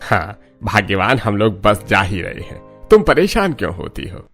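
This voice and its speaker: Man playing a bright-voiced, proud older farmer. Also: lowish voice, big pitch swings, normal speed. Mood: calm. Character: proud, polite older farmer, father-in-law of the family. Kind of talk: cartoon story voice acting. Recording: music behind the voice.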